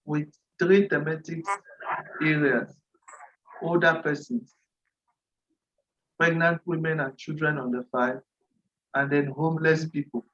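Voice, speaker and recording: Male nonprofit rep from Cameroon, voice sits a bit high, methodical and repetitive delivery. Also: level tone, a bit bright, muffled recording. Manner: deliberate, repeats phrases, occasional throat clearing. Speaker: nonprofit representative at a virtual dialogue. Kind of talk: formal presentation. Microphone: video call.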